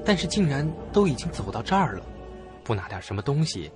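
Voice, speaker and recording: A man playing a bold, teasing, excitable young thrill-seeker in a horror audio drama. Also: medium pitch, brisk, over loud music, lightly acted. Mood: teasing, coaxing.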